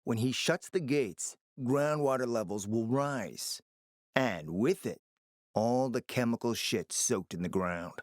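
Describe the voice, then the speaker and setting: A man's voice: mid-range voice, takes it slowly, dry recording, studio quality, lightly acted. An actor playing a scheming, foul-mouthed man in a post-apocalyptic video game, confident and calculating, with an emphatic, sardonic delivery. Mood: calculating, persuasive. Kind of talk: dramatic video game dialogue.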